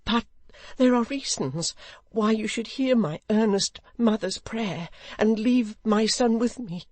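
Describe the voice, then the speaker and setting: Narrator acting a high-pitched, imploring poor widow. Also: animated, a little slow, darkish tone, no room sound. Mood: desperate imploring. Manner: earnest, imploring supplication. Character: poor anxious widow, pleading protective mother.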